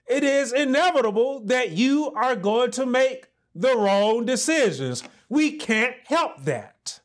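A man in his thirties preaching a sermon as pastor, building to emphatic repeated lines with deliberate pauses, recorded on a lapel mic in a small church room. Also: high-pitched. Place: small indoor church office set with pulpit.

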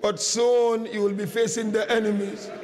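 A man preaching a mourning sermon as a dramatic lament, impassioned and drawn-out, his voice tearful and breaking. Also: high-pitched, little pitch movement, a crowd in the background. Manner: impassioned, drawn-out, grief-laden delivery. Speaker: preacher reciting a religious lament.

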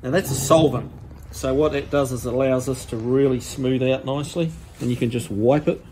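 A man in his sixties with a New Zealand accent, showing car restoration work in a workshop in a relaxed, practical, plain-spoken way. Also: mid-range voice, normal speed, slight background noise. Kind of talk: casual how-to demonstration. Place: large car workshop, indoors.

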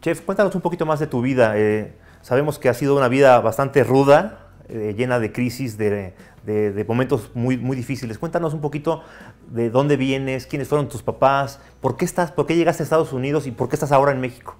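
A man's voice: medium pitch, fairly quick, a little mellow, faint music behind. A man in his thirties hosting an interview in a bar, asking questions in a friendly, inviting way. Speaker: interview host. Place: bar with bottle shelves.

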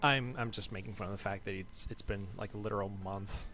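A man's voice: on the low side, steady tone, a little fast, pushed and bright, faint music behind. A man co-hosting a let's-play, chatting casually with mock-zealous jokes while he plays. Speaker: gaming channel co-host.

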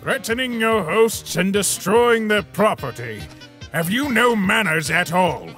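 A man's voice: high voice, expressive, unhurried, a bit bright, soft background music. A man voicing a stern, pompous skeleton disciplinarian with formal speech in a comic dub. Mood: scolding. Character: stern, haughty skeleton commander, scolding disciplinarian.

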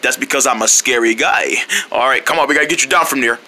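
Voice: medium pitch, fast, bright, forceful tone, a little hiss or hum, lightly acted. A performer voicing a laid-back, silly cartoon buddy with goofy, matter-of-fact retorts. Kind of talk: casual cartoon voice acting.

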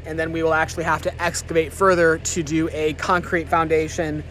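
A man's voice: fairly high pitch, little pitch movement, fairly quick, fairly bright tone, slight background noise. A man talking casually to camera at an outdoor building site, thinking aloud and asking viewers questions, recorded on a handheld camera mic. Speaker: vlogger renovating a stone cabin. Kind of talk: casual vlog narration to camera.

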